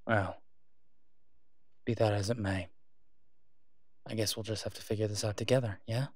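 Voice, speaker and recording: A man playing a devoted, reassuring boyfriend in an intimate roleplay audio drama, speaking softly and haltingly in a conversational way. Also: fairly low pitch, steady tone, no echo, studio quality. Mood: tender, reassuring.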